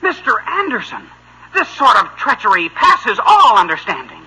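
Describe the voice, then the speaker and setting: Very high, pompous raspy boy. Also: wildly swooping pitch, moderate pace, heavily acted, poor audio. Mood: pompous indignation. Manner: pompous, declamatory. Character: pompous, grandiose teenage boy playing great director. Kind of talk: 1950s American radio sitcom acting. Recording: bright old radio recording.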